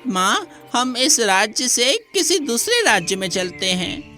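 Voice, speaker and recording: Little monkey kid for a kids story, sharp high voice, whiny and earnest. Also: female voice, big pitch swings, unhurried, faint music behind, heavily acted. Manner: childlike, plaintive. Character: small orphaned monkey child, plaintive and earnest. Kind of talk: children's moral story dialogue.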